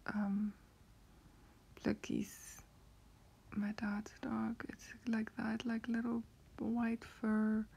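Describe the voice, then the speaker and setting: A woman with a South African accent rambling softly through a strange dream, often half-whispering and hesitating with many fillers. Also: medium pitch, vocal fry, breathy edge. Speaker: vlogger.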